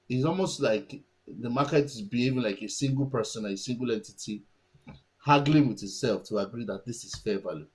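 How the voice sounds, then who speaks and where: A man's voice: mid-range voice, husky, poor audio. A man whose first language is Yoruba, giving casual market commentary and thinking aloud with many restarts and fillers.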